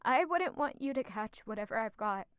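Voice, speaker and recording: A woman's voice: a little high, animated, moderate pace, clean tone, no echo, lo-fi sound, natural voice. An actress in an audio drama playing a guarded, evasive young noblewoman who claims to be unwell, answering reluctantly.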